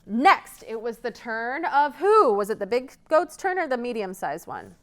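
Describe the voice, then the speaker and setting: A woman's voice: fairly high pitch, very animated, unhurried, a bit bright. A woman hosting a children's storytime, telling stories interactively and coaching young listeners along with questions. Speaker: children's librarian, storytime host.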